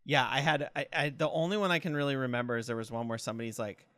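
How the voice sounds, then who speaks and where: A man co-hosting a podcast in casual comedic banter, telling things in a rambling, halting way. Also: mid-range voice, steady tone, fairly bright tone, no room sound. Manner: rambling, halting storytelling with frequent "like". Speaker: podcast co-host.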